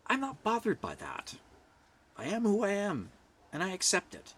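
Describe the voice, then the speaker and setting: A middle-aged man from Canada with a loud voice, ranting bluntly to camera and swinging from hushed whispers to forceful outbursts. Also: sits high, wildly swooping pitch, normal speed, breathy edge. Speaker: vlogger. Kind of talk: personal rant to camera.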